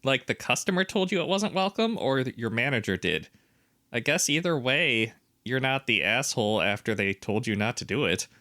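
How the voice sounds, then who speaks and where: A man's voice: medium pitch, expressive, normal speed, pushed and bright, no echo, studio quality. A man co-hosting a podcast, reading comments aloud and asking pointed clarifying questions in casual chat. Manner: inquisitive, asks clarifying questions, opinionated. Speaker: podcast co-host.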